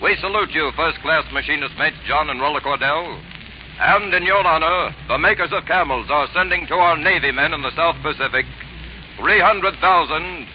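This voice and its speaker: A man's voice: fairly high pitch, moderate pace, slight background noise. A man announcing a formal wartime tribute on a 1940s radio broadcast. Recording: dull 1940s radio recording.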